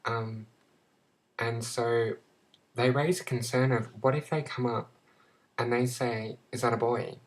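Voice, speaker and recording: A young Australian woman talking to her camera in a casual personal vlog, halting and searching for words with many restarts. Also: a bass voice, fairly even intonation, rough recording. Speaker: vlogger.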